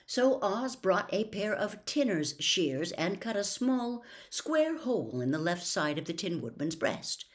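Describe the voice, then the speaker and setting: An older woman reading a classic novel aloud at home, expressive and animated. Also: mid-range voice, measured pace, few pauses. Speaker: storyteller. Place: home room with bookshelves. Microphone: webcam close up.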